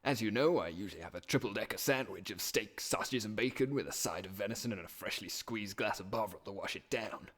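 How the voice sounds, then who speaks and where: A man playing a low-voiced, flustered academic. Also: fast, hardly any pauses, fairly bright tone. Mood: polite. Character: earnest, flustered male academic who loves his meat. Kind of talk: comic stage musical dialogue.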